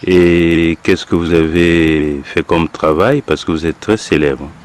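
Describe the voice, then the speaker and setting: A man interviewing an elder, asking unhurried prompting questions. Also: low voice, fairly even intonation. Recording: faint background noise.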